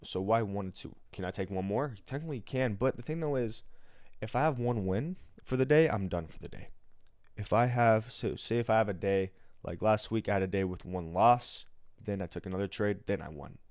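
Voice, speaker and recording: Low, raspy American man, casual. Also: a little fast, a little mellow, no room sound, clean studio sound. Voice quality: raspy morning voice. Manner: informal, slangy, explains step by step. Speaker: day trader and livestream host. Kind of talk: casual live trading tutorial.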